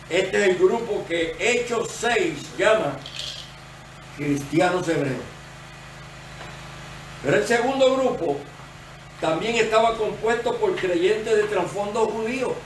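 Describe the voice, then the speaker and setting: A male associate pastor with a Puerto Rican accent gives a sermon in a fairly high voice, unhurried and deliberate. He explains step by step. He sounds set back from the mic in a roomy church, with faint noise behind. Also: far from the mic. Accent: Puerto Rican Spanish. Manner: measured, explanatory preaching.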